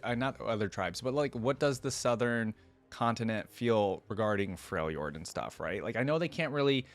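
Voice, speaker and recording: A man hosting a reaction video, commenting casually and thinking out loud. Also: lowish voice, animated, moderate pace.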